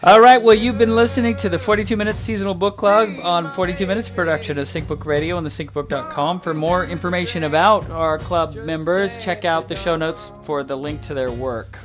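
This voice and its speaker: A man's voice: fairly high pitch, steady tone, a little fast, few pauses, over music. A man hosting a podcast book club, putting questions to the members and reading a closing outro. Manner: guiding, asks members questions. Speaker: podcast host. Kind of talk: casual book club chat and scripted outro.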